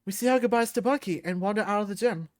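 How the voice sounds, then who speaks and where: A woman's voice: medium pitch, fast talker, dry recording, clean studio sound. A woman reading a visual novel aloud as a narrator.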